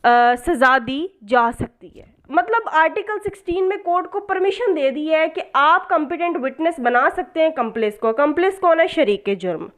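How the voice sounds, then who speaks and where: A woman's voice: sits high, animated, normal speed, hardly any pauses, fairly bright tone. A woman with a Pakistani accent lecturing as a law tutor, explaining step by step with rhetorical questions, repetition for emphasis and English legal terms mixed in. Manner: didactic, repeats key points for emphasis.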